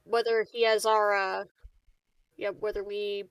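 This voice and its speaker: A woman's voice: mid-range voice, flat delivery, measured pace, clear, resonant tone, no room sound, muffled recording, lightly acted. A woman playing a modest, folksy colony worker in a tabletop roleplay, talking in a hesitant, informal, eager-to-help way. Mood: agreeable, hesitant. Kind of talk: improvised tabletop roleplay dialogue.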